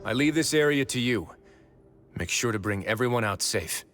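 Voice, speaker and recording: An actor playing a composed, authoritative duke in a video game, speaking in a measured, commanding way. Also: male voice, medium pitch, lively intonation, fairly bright tone, natural voice. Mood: calm, commanding. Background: game music.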